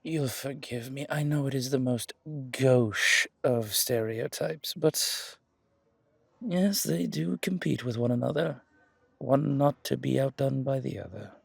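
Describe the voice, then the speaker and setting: A man playing an effusive, flattering, flirtatious museum curator in a roleplay audio drama, genteel and gushing, with hesitant pauses and repeated words, in a husky, creaky voice. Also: mid-range voice, no room sound, exaggerated voice. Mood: proud, effusive.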